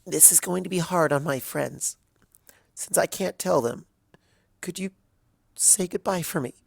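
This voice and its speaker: A man's voice: on the high side, a little slow, vocal fry, dry recording, clean studio sound, voice pushed up. A man voicing a young female pony student, frightened and pleading, with a stammering, tearful delivery. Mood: sad resolve.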